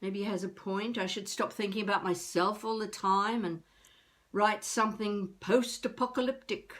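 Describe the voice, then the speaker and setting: An actress playing an elderly wannabe novelist, wry and self-mocking, musing haltingly to herself in a confessional video-diary monologue. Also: female voice, medium pitch, fairly even intonation, a bit bright, lightly acted. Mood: self-deprecating. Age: older.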